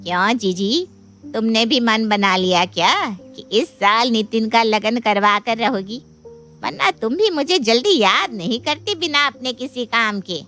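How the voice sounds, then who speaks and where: A bustling younger-sister matchmaker, played by a woman pitches her case in a high voice over faint music. She is boastful and persuasive, gushing through storybook dialogue. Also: a little slow. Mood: cheerful, boastful. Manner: gushing, persuasive, animated phrasing. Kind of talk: dramatised Hindi moral story dialogue.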